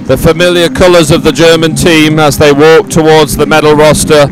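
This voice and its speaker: A man giving live event commentary in a halting, improvised way as he fills time. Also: a little high, level tone, music in the background, low-quality recording. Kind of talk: live commentary on results and medal ceremony.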